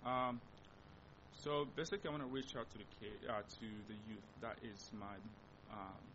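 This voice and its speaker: Congolese man speaking haltingly. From Democratic Republic of Congo. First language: Lingala. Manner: halting, searching for words, many restarts. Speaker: missionary. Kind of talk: church missionary presentation. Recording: dull recording with steady low rumble.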